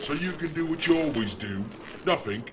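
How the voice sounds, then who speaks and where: Man playing rough, higher-pitched Cockney Londoner. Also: animated, normal speed, lightly acted. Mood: angry, defiant. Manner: rough, belligerent, exclamatory. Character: angry, rough working-class London man demanding justice. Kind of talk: period audio drama acting. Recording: muffled, with clear background noise.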